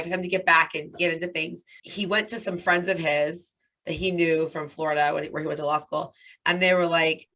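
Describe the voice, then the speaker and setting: A hoarse woman in her thirties with a raspy voice, chatting as a guest and telling a long story with lots of "like" and "right?". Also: lowish voice, sharp tone. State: hoarse from talking nonstop. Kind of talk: casual interview chat.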